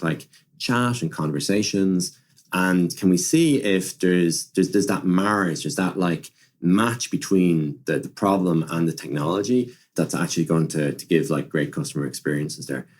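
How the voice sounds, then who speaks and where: A man's voice: low-pitched, a little mellow, slightly rough. A man speaking as an expert podcast guest, explaining conversationally and often repeating and rephrasing with plenty of "like" and "you know". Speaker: podcast guest, machine learning director. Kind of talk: conversational product and technology discussion.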